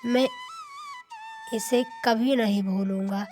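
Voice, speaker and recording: A woman's voice: medium pitch, fairly even intonation, slow, music in the background, close to an everyday voice. Woman voicing a wronged, bitter young villager. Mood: hurt, bitter.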